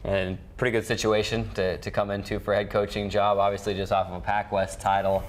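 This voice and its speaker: A man's voice: fairly low pitch, flat delivery, fairly quick. A man hosting a sports show, recapping results and interviewing guests in a studio. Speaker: sports show host and play-by-play announcer.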